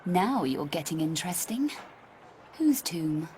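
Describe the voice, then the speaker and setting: An actress playing a cool, wry young adventuress in a video game, with a dry, self-assured delivery. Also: female voice, fairly low pitch, big pitch swings, measured pace, darkish tone, a little hiss or hum. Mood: wry interest. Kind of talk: video game cutscene acting.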